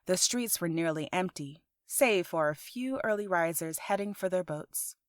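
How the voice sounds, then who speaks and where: A woman storyteller reading scripted fantasy narration in a measured, expressive way. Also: lowish voice, no room sound, clean studio sound. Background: music.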